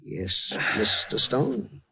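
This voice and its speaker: An actor playing a nervous, hesitant, fussily polite funeral director in a 1950s radio drama. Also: male voice, extremely slow, sharp tone, dry recording, full character voice, low-quality recording. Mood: evasive, flustered. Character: nervous, proper funeral director guarding his firm's dignity.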